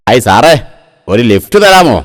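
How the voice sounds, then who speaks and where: A man's voice: medium pitch, big pitch swings, normal speed, in a large hall, very noisy, lightly acted. A man voicing a wily, falsely polite hitchhiking car thief in a comic cartoon, coaxing and ingratiating. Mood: sly, coaxing.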